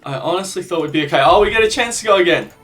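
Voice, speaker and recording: A man's voice: high-pitched, animated, racing, fairly bright tone, far from the mic, faint music behind. A man with a slight cold calling his gameplay. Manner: self-deprecating, thinking aloud about strategy. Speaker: gaming channel host. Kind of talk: gameplay commentary.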